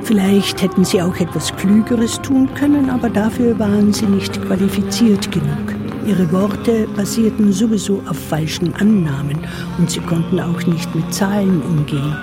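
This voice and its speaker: A woman acting as a somber storyteller in a literary radio drama, reciting soberly and deliberately. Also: mid-range voice, natural voice. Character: somber woman storyteller of hungry, sleepless children. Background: music.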